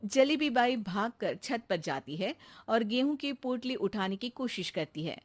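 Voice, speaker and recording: A woman's voice: a little high, animated, unhurried. A woman reading scripted narration for a comic bedtime story.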